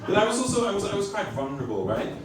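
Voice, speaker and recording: Man, swiss german accent, dry stand-up delivery, lively swooping pitch, echoey room, heard from afar, loud crowd laughing. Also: very fast, recorded from a distance. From Switzerland. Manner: deadpan, wry, rhetorical "right?" tags. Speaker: stand-up comedian. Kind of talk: stand-up routine. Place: comedy club stage. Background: audience laughing.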